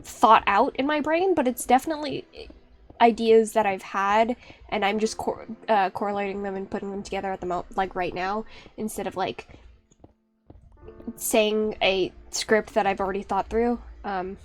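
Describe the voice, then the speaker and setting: A woman's voice: fairly even intonation, normal speed, faint music behind. A young woman streamer chatting casually on a live stream, rambling and restarting her sentences, often breaking into laughter. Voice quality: high-pitched.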